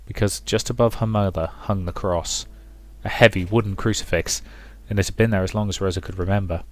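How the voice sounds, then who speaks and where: A man's voice: low voice, steady tone, fairly quick. A man reading a visual novel aloud as dramatic story narration. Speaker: let's play narrator.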